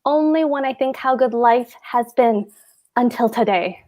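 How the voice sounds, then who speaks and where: A woman's voice: fairly high pitch, measured pace, darkish tone, close to an everyday voice. A woman reading the part of a pompous, anxious husband, a fussy government clerk, at a table read of a stage comedy. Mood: brooding, pompous. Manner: pompous, hectoring, fretful.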